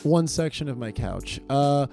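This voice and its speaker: A hoarse man hosting a podcast from his couch at home, talking casually and rambling with lots of fillers and self-deprecating asides. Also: mid-range voice, animated, unhurried, mellow, faint music behind. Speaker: podcast host. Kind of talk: casual solo podcast intro. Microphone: portable recorder.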